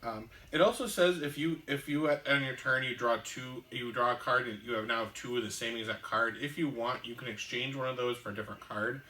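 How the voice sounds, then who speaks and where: A man's voice: medium pitch, fairly even intonation, brisk, few pauses, pushed and bright. A man hosting a board game show, patiently explaining the rules step by step as he plays, with frequent ums. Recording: roomy room, mic at a distance, faint hiss.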